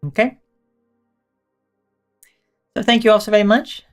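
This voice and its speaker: Hoarse woman streamer signing off. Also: medium pitch, steady tone, a little slow, soft background music. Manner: rambling, self-correcting, teasing chat toward viewers. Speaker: live streamer. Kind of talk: casual live-stream chat and sign-off.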